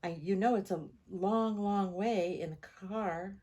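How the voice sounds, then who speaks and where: Woman reading a practical storybook mom, slightly husky. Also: mid-range voice, slow, warm tone. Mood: reasoning. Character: practical, patient mother in a storybook.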